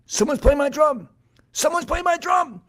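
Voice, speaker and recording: An indignant storybook cat, played by a man, hot-tempered and possessive. The voice is pushed high and swings widely in pitch, repeating its threats and accusations. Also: expressive, normal speed, fairly bright tone. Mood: alarmed. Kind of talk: animal folktale storytelling.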